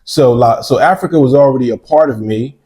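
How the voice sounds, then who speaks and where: Interview guest, man in his forties, warm low-key delivery, storyteller. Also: fairly quick. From United States. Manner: relaxed storytelling with frequent "right?" asides. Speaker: interview guest, real estate developer. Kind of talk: casual interview.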